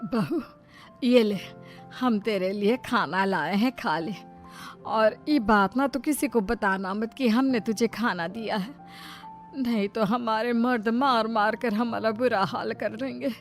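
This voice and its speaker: A woman performs a cautious middle-aged mother-in-law in a storybook family drama. She sounds anxious and admonishing, in a rather high voice over soft music. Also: slow. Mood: hushed worry.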